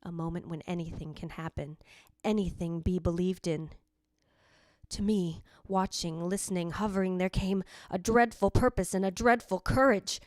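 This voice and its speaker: A woman's voice: fairly low pitch, dry recording, clean studio sound, natural voice. A woman playing the jealous, anguished ghost of a dead wife, narrating in a literary, theatrical old-time radio drama style. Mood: intense, dread. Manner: literary, theatrical, deliberate delivery.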